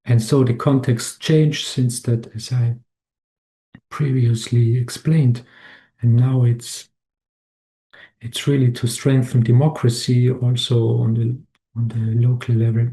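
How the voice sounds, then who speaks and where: Halting Austrian-born man giving a webinar. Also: mid-range voice, little pitch movement, warm tone. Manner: halting, many restarts and fillers. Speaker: webinar presenter. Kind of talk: slide presentation talk.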